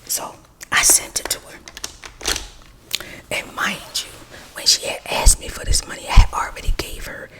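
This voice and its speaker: A woman's voice: normal speed, pauses often. A woman whispering a gossipy storytime while she does her makeup, close to the mic. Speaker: vlogger.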